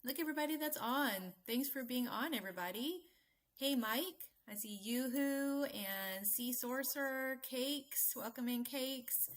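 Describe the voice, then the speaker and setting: A woman's voice: sits high, unhurried. A woman hosting a casual live stream, a little stuffy and congested, chatting and greeting viewers by name with frequent ums. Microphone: streaming mic.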